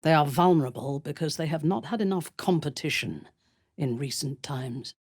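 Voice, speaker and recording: Husky, imperious dark elf warrior woman. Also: sits low, animated, dry recording, clean studio sound, heavily acted. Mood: cold, calculating. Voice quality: husky, breathy. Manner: imperious, deliberate, formal diction. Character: haughty, ruthless drow elf warrior woman, commanding and menacing. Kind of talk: video game voice acting, dramatic character lines.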